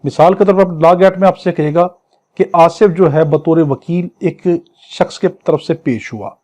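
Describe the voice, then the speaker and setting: A man's voice: a little high, a little slow, a little mellow. A man with a Pakistani accent giving an exam lecture, reading clauses aloud and explaining them in a didactic way, often answering his own questions. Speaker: law lecturer.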